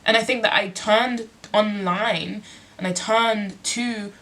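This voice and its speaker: A woman's voice: medium pitch, steady tone, slow, a bit bright. Young woman talking straight to camera, bit blocked up and sniffly, says "like" loads, thoughtful and open. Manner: reflective, heavy use of "like" fillers. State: congested, sniffly. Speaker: musician and performer. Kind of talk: candid personal vlog.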